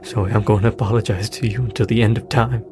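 Halting, guilt-ridden father, music behind. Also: lowish voice, fairly even intonation, gravelly. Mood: anguished, guilty. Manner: halting, hesitant, sighing delivery. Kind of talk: naturalistic audio drama dialogue.

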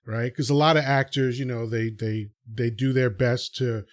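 A man's voice: mid-range voice, fairly even intonation, normal speed. New York man hosting a podcast. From New York City. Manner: explains at length with frequent fillers and rhetorical "right?". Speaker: podcast host. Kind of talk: casual conversation.

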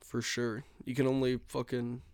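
A man of ordinary pitch co-hosting a podcast, recorded dry and close. He talks in a mumbly, profane, deadpan way and keeps hedging his points. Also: moderate pace, smooth, dry recording. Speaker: podcast co-host. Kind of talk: casual chat.

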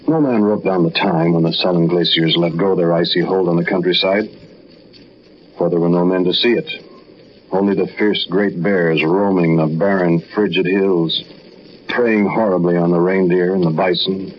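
An actor playing a haunted man who narrates an eerie tale in a 1940s radio drama, with slow, dramatic, deliberate delivery. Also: deep voice, dull audio, a little hiss or hum, natural voice. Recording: band-limited 1940s radio recording, music behind.

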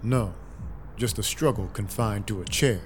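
A man's voice: lowish voice, unhurried, close to an everyday voice. A man playing a dry, brooding, world-weary vampire detective with terse noir delivery in an audio drama. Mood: wry, businesslike. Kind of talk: first-person noir narration, audio drama acting. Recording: loud music behind the voice.

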